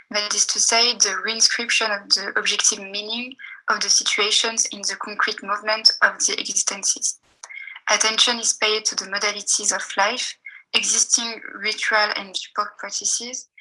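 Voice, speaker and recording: Bright-voiced woman reading a paper. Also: medium pitch, rough recording. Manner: careful, measured reading with pauses between phrases. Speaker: PhD student presenter. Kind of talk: reading a seminar paper aloud.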